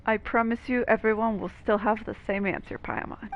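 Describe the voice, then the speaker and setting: A woman's voice: on the high side, fairly even intonation, fairly quick, lo-fi sound. A woman giving casual, wry commentary as she plays a game. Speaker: game streamer. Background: game music.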